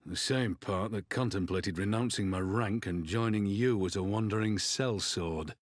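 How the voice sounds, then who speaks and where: An actor playing a battle-worn, loyal warrior general in a video game, speaking in a solemn, measured, formal way. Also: male voice, lowish voice, no room sound, clean studio sound, lightly acted. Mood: solemn, resolute. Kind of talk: dramatic video game cutscene acting.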